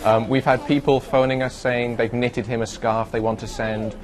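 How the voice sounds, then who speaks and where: A man's voice: mid-range voice, flat delivery, moderate pace. A man interviewed in a studio as a guest, chatty, a little hesitant and wry. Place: broadcast studio.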